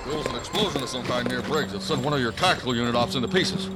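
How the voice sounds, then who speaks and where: Actor as fast-talking urgent male officer. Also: mid-range voice, lightly acted. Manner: rapid reporting. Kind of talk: dramatic audio drama acting. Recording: loud music and outdoor effects behind.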